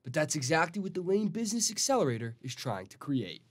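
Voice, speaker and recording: A man's voice: fairly high pitch, big pitch swings, quick pace, no room sound. A young American man delivering broadcast news as a student TV reporter, in a formal announcer-like manner. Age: young adult.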